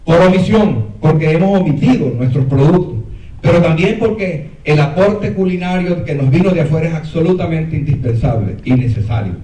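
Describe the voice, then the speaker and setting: A man with a Venezuelan accent lectures at a conference, sitting slightly higher than most men's voices. He tells animated stories, stumbling and restarting with fillers. The voice echoes through a reverberant hall, caught by a microphone some distance away. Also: normal speed. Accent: Venezuelan Spanish. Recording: roomy hall, mic far off.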